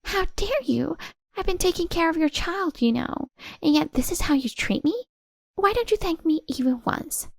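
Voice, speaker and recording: Woman acting a shrill, defensive cheating wife. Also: lively intonation, moderate pace, creaky, no room sound, exaggerated voice. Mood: indignant. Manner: pleading, indignant outbursts.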